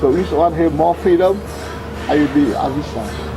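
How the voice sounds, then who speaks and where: Halting older man from Vietnam. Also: a little high, music in the background, rough recording. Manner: halting, many fillers and "you know, right?" tags. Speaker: interviewee, former air force officer. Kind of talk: oral history interview.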